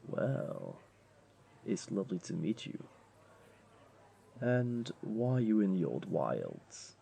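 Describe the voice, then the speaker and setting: Man voicing a deep, gravelly, unhurried jaguar beastling. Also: huge pitch swings, full character voice. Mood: inviting, flirty. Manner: gentle, unhurried, coaxing. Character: flirty, comforting male jaguar beastling, patient restaurant owner. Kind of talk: intimate audio roleplay, addressing the listener.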